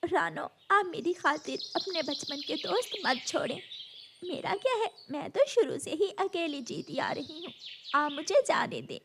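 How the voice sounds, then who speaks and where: A woman's voice: high voice, big pitch swings, measured pace, noisy background, full character voice. A woman voicing a meek, bullied young female bird in a cartoon story, pleading and plaintive. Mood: meek, sad. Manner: pleading, plaintive, self-pitying.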